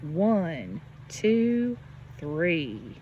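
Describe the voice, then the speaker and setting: Slow-paced woman reading aloud outdoors. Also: low-pitched, sing-song, lo-fi sound. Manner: instructive, addressing children with questions. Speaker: elementary school teacher reading aloud. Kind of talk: read-aloud lesson for young children. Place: outdoors by a farm pond. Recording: outdoor recording with background nature noise. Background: running creek, animal sounds.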